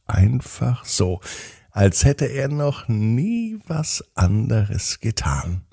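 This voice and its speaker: A man with a husky, gravelly voice gently narrating a children's bedtime story in a soothing manner. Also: fairly low pitch, very animated, drawn-out words, no room sound, clean studio sound.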